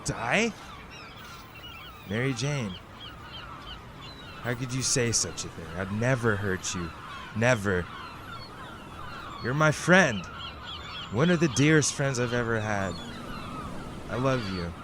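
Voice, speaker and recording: A man's voice: medium pitch, expressive, takes it slowly, pauses often, background noise. A man playing an unhinged, grieving villain who swings between menace and tender pleading in a melodramatic audio drama. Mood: pleading, sorrowful. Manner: melodramatic, intense.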